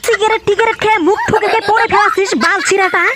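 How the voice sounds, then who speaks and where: A performer voicing a bossy, foul-mouthed young man in a comedy cartoon, with a North Bengal accent and a shrill voice. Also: fairly even intonation, very fast. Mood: scolding. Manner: foul-mouthed, scolding, rustic. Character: Tepu, bossy scolding older-brother friend, young man.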